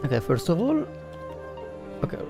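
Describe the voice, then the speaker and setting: A man's voice: on the high side, huge pitch swings, moderate pace, vocal fry, over music. A man with an Italian accent, a native Italian speaker livestreaming casual game commentary, thinking aloud and searching for words. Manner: hesitant, searching for words, reflective.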